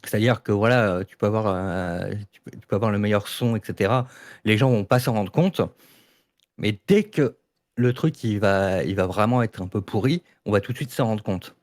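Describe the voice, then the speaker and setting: A man with a fairly low voice speaks in a casual panel discussion. He explains his point emphatically in everyday, colloquial language. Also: moderate pace, a little mellow. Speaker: audio fiction maker in a panel debate. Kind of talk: informal debate, casual discussion.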